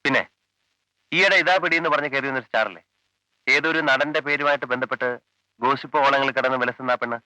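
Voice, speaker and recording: An actor playing a calm male police officer who questions a witness with measured, probing lines in a 1980s film. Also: mid-range voice, no room sound, rough recording. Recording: dry 1980s film soundtrack, faint music.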